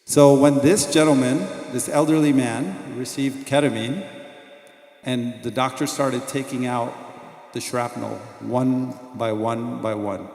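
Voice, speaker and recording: A doctor giving a mosque lecture, telling personal stories in an earnest way that turns quiet and reverent at moments. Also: male voice, mid-range voice, steady tone, unhurried. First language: not Arabic. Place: mosque hall. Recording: echoey hall, long reverb.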